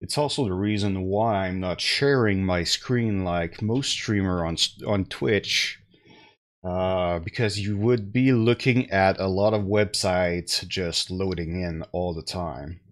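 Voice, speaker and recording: A man in his thirties talks through a headset in a small room at home, slightly stuffed up. His voice sits fairly low and he speaks unhurriedly, pausing with fillers as he chats to his live audience. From Canada. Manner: slow, relaxed, with many fillers and restarts. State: slightly congested. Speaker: tech deals streamer. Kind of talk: casual live-stream talk to chat.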